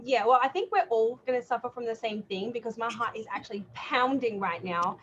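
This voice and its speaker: A woman's voice: on the high side, brisk, a bit bright, lo-fi sound, a little hiss or hum. An Australian woman chatting casually and effusively as a guest on an online show. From Melbourne, Australia. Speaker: podcast guest, cosplay artist. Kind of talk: casual chat. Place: remote online call.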